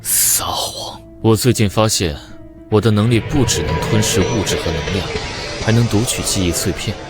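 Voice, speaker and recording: An actor playing a stern, powerful veteran fighter in an animated drama, questioning coldly and accusingly. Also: male voice, fairly low pitch, normal speed, loud music behind the voice, lightly acted. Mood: accusing, intense. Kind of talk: animated web drama dubbing.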